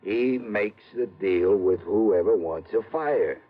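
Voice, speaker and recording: Slangy, uneasy small-time crook. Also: male voice, fairly low pitch, takes it slowly. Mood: matter-of-fact, knowing. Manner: slangy, ungrammatical street talk, hesitant. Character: uneasy small-time male ex-arsonist informant, street-tough, wary of police. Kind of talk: 1950s radio crime drama acting. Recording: dull old radio broadcast recording.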